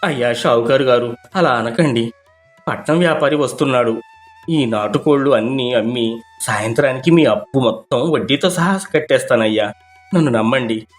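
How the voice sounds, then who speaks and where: A man's voice: medium pitch, normal speed, soft background music, close to an everyday voice. A man voicing a humble, anxious village poultry farmer with a respectful, pleading manner in a moral story.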